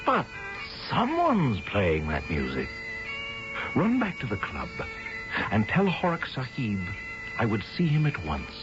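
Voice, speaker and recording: An actor playing an imperious, exasperated young army officer in a radio drama, theatrical and sardonic in mock-archaic phrasing. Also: male voice, mid-range voice, wildly swooping pitch, measured pace, pauses often, over music. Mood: incredulous, exasperated. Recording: dull old radio, faint noise.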